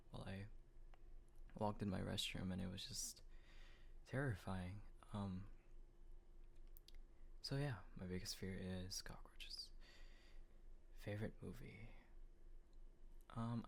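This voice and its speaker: A man, a voice actor, answering fan questions in a soft, half-whispered way, hesitant and full of pauses. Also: lowish voice, creaky, slight background noise. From Texas, US. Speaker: voice actor, channel host.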